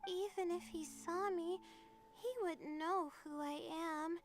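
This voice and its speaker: Very high-pitched timid student girl. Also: steady tone, a little hiss or hum. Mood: puzzled, apologetic. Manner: hesitant, halting, apologetic. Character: anxious, timid, bewildered young female student. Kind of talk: anime-style game voice acting.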